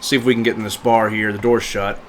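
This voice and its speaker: A man giving informal live commentary on a video game, reacting out loud as he plays. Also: lowish voice, little pitch movement, fast, a little hiss or hum. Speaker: game livestreamer. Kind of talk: casual gameplay commentary.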